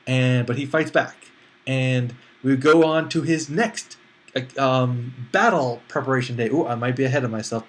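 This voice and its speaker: A man giving a casual spoken film review as a movie critic, talking conversationally and acting out his imagined reactions. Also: medium pitch.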